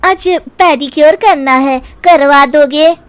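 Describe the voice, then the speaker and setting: A woman's voice: high-pitched, slow pace, voice pushed up. A woman voicing a vain, whiny young beauty-queen daughter-in-law in a cartoon story, coy and dramatic. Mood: coaxing. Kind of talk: cartoon story character acting.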